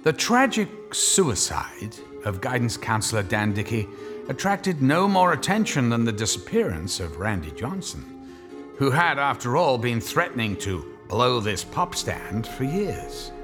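A man's voice: medium pitch, big pitch swings, measured pace, music in the background. A man narrating a scripted drama in a wry, theatrical storytelling manner.

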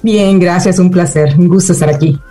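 A woman with a Salvadoran accent giving conversational interview answers as a guest, hesitant with frequent pauses. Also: lowish voice, warm tone, faint music behind. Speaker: interview guest, education nonprofit manager.

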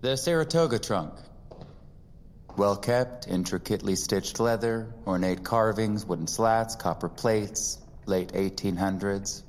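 An actor playing a shrewd antiques appraiser in an audio drama, dry, professional and deliberate. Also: male voice, on the low side, long reverb tail, natural voice. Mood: measured intrigue. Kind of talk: dramatic audio fiction acting.

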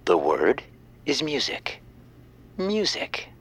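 A man playing a solemn quiz show announcer in an audio comedy, hushed and deliberate. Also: medium pitch, wildly swooping pitch, noisy background.

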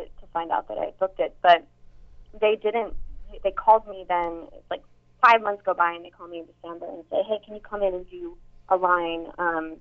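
A young actress with a somewhat deep voice, chatting casually in an interview with many fillers and restarts. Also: female voice. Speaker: actress and voice actor. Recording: tinny phone-like line.

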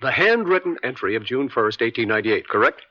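A man acting a brisk, methodical prosecuting attorney in a radio courtroom drama, with precise, formal, controlling questioning. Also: medium pitch, expressive, natural voice. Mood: methodical, businesslike. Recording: dull vintage radio broadcast sound.

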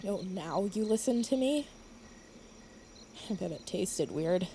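A woman's voice: medium pitch, lively intonation, mellow, a little hiss or hum. An actress playing an agitated, mocking young woman on the run in an audio drama, talking in sarcastic, rattled bursts. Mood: sarcastic, teasing. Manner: sarcastic, rattled, rapid-fire questioning.

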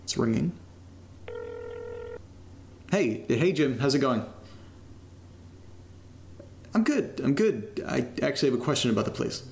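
A young man talks into his recorder in a found-footage horror drama. His voice is mid-pitched with plenty of fry, and he rambles informally, sweary and self-deprecating. A faint noise sits behind him. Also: big pitch swings, moderate pace, a little mellow. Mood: polite, casual. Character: casual, upbeat young man documenting a creepy new house.

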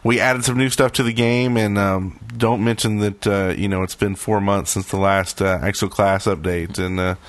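Low-voiced man hosting, dry studio sound. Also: little pitch movement, moderate pace, hardly any pauses, no room sound. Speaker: podcast host. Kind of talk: casual panel chat, playful.

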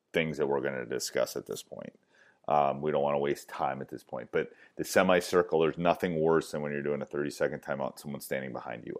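Loud, deep-voiced man coaching basketball. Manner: emphatic, repeats key points, many fillers. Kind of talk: coaching clinic talk.

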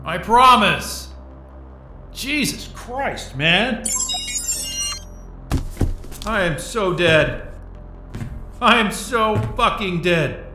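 A man acting in an audio drama as a panicked, exasperated, foul-mouthed man who speaks haltingly. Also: sits high, animated, roomy sound, over music, full character voice. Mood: despairing. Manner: swearing, halting, agitated.

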